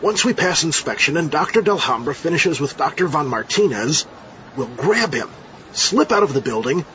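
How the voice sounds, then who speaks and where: A man voices a scheming undercover scientist in a comedy audio drama. He gives orders with mock seriousness in a highish, lively voice. The sound is slightly muffled. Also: normal speed. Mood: briskly commanding. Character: scheming, commanding male scientist hero undercover. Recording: muffled audio with faint background noise.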